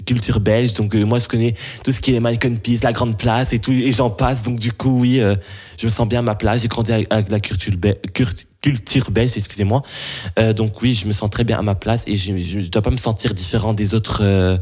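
A man's voice: lowish voice, fairly even intonation, a little fast, darkish tone, slightly rough. A young man from Belgium answering interview questions, hesitant, with many restarts and fillers.

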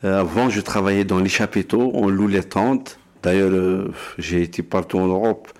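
A man's voice: sits low, fairly even intonation, normal speed, darkish tone. A man from Algeria, introducing himself plainly with hesitations.

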